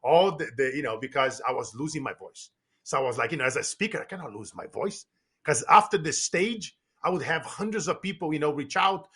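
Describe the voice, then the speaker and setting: A middle-aged man from Brazil, guesting on a podcast as a business coach. His voice is bright and a little husky, with a forceful, tense edge. He talks emphatically and keeps restarting his sentences. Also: on the high side. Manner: animated, repetitive restarts, emphatic. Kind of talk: casual podcast conversation.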